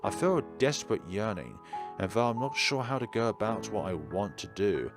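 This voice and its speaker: A man's voice: on the low side, animated, normal speed, music in the background. A man reading an anguished, self-blaming teenage schoolboy who pleads and narrates his own story in a visual novel.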